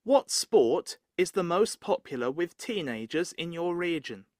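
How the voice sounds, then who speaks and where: A recorded examiner voice reads test questions slowly and clearly. The pitch rises and falls in a lively way, and the sound is dry and close. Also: no room sound. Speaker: exam question reader. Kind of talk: scripted exam questions.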